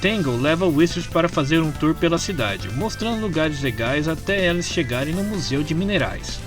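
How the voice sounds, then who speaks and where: A man's voice: on the high side, sing-song, moderate pace. A Brazilian man with a Brazilian Portuguese accent narrating a comic story in an informal storytelling style. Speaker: narrator of a fan comic dub. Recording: music behind the voice. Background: background music.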